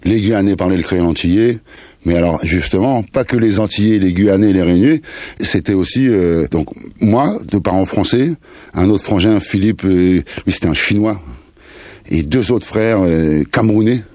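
50s guy, low dark voice, loose slangy chat, dry mic. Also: normal speed, dry recording. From France, Paris suburbs. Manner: rambling, slangy, mixes in Creole phrases. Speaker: musician guest. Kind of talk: casual interview chat.

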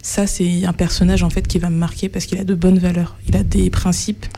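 Low, mellow woman interviewer. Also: level tone, brisk, vocal fry, a little hiss or hum. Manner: rephrases answers, frequent "en fait" fillers. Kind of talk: conversational interview.

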